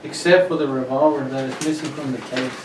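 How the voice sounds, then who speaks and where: A grave, formal Brazilian manservant, played by a man, with a medium-pitched voice. He speaks in solemn, stilted phrases as in an old radio mystery. The sound is distant and noisy. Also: fairly even intonation, brisk, lightly acted. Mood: grave, foreboding. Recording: roomy, distant, clearly noisy recording.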